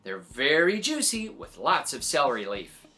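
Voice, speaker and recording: A woman reading a story aloud to young children in an animated, expressive way, adding little exclamations. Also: a bass voice, normal speed. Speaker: children's story reader and host.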